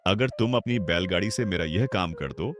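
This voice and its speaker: A man's voice: low-pitched, expressive, brisk. A man voicing a generous older village headman in a cartoon, talking in a confident, benevolent way. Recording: background music under voice.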